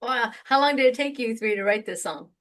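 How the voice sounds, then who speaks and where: A woman's voice: a little high, fairly quick, fairly bright tone. A woman hosting an interview, asking short eager questions and laughing often. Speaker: interview host. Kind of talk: friendly interview.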